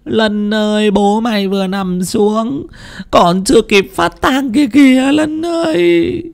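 A man voicing a middle-aged widow wailing in grief with long, drawn-out cries. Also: high voice, vocal fry, pitched above the performer's own voice, heavily acted.

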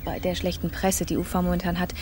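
A woman's voice: on the low side, little pitch movement, fast talker, darkish tone, a little hiss or hum, close to an everyday voice. An actress playing a vain beauty devotee in a radio drama, preaching her creed in an earnest, self-assured, emphatic way. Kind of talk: radio drama, chatty opinion monologue.

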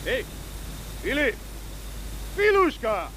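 Jovial drinking pal, voice swoops all over, teasing, old radio drama. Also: male voice, extremely high pitch, huge pitch swings, slow pace, fairly bright tone, slight background noise, full character voice. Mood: hailing. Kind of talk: 1950s German radio play acting.

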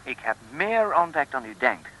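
A courteous English secret agent in an old radio serial. His English accent is sharp and bright, and the pitch swings about in a lively, exclamatory way. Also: moderate pace, low-quality recording. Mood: pleased, confiding. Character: chirpy, polite English secret service agent. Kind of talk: 1960s radio serial acting.

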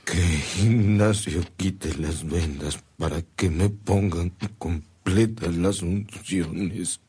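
Frail dying priest, low dark voice, exhausted, resigned, radio drama. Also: male voice, steady tone, very slow, heavily acted. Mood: weak, resigned. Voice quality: weak, husky, breathy. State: failing, exhausted. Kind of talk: Spanish-language radio drama acting.

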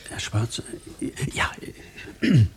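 Nervous stammery comedian, lots of uh and um, radio play. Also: male voice, drawn-out words. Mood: flustered. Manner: stammering, hesitant, full of fillers. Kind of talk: 1990s radio play acting.

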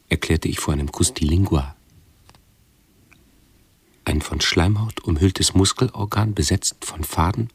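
An actor playing a brooding, hypochondriac intellectual with pedantic, ornate diction in a radio drama. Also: male voice, very deep voice, slightly rough, no echo, heavily acted. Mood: pedantic, musing. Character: brooding, hypochondriac intellectual man tormented by his body. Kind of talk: 1970s German radio drama acting.

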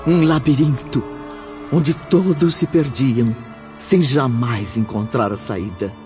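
A man narrates a spiritist radio drama in a slow, solemn voice. His delivery is measured and weighty. Music sits clearly behind the dull, lo-fi sound. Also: medium pitch, darkish tone. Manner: grave and measured.

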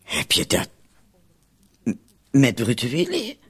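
An anxious, doubting accomplice in a radio drama. The voice is middling in pitch and very lively in its rises and falls, with a hesitant, questioning delivery. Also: male voice, slow, breathy edge, exaggerated voice. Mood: fearful, unsure. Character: anxious, doubting, wordy accomplice in a murder plot. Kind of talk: Dutch-language radio drama acting.

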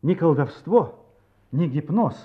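Earnest guy sleuth in a radio play, slightly high husky voice, explaining stuff, bit muffled audio. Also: lively intonation, slow, full character voice. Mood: earnest, reasoning. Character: earnest amateur sleuth, worried man reasoning out a crime. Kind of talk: audio play dialogue and narration.

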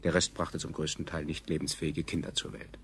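A man acting as the coolly sardonic chronicler of a doomed science colony, narrating a radio play in a clinical, ironic deadpan. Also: rumbling low, a little fast. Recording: 1970s radio production, clear background noise.

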